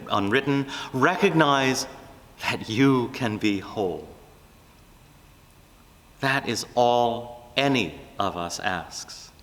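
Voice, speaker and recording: A man preaching a reflective, prayerful sermon, speaking earnestly in measured phrases with deliberate pauses. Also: medium pitch, fairly bright tone, in a large hall.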